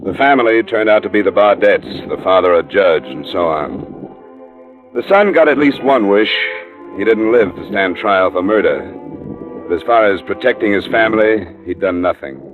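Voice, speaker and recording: Guy playing a hardboiled american insurance investigator, low and dry, blunt, old radio show with tinny narrow sound. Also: expressive, normal speed, natural voice. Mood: sober, narrating. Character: tough, level-headed male insurance investigator. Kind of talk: 1940s-50s radio detective acting and first-person narration. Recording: narrow, muffled old radio recording, music.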